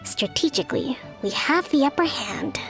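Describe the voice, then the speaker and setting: A young female commander with a high, gentle voice. She is composed and confident, articulate and measured, formal but friendly, like a video game strategist. Music plays behind her. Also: sing-song, gravelly, full character voice. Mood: calm, analytical. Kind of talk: video game character dialogue.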